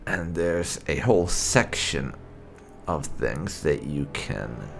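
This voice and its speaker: Deep, gravelly, slow-talking male gamer. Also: animated, music in the background. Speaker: gaming streamer, host. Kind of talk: casual roleplay game commentary.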